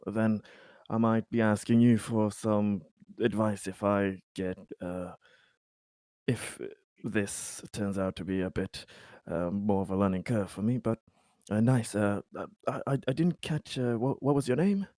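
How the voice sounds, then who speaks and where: A man playing a tabletop role-playing game, improvising in-character dialogue in a friendly, halting way. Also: on the low side, warm tone, no room sound, clean studio sound.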